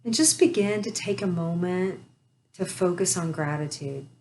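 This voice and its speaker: A woman leading a guided meditation, speaking softly and soothingly with long pauses. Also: on the low side, a little hiss or hum.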